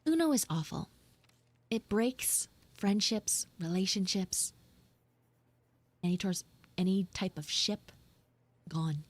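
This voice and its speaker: A woman voicing a flustered, grudging tsundere frog girl in a live audio roleplay, speaking casually with halting stammers. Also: medium pitch, animated, no room sound. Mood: grumbling. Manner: halting, stammering, drawn-out delivery.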